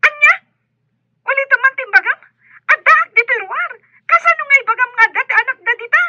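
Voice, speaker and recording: A woman voicing an irritable, scornful caller with a shrill, squeaky voice in an audio drama. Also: animated, fairly quick, bright, forceful tone, no room sound, lo-fi sound, heavily acted. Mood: irritated, scornful. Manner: scornful, scolding. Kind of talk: Ilocano audio drama acting.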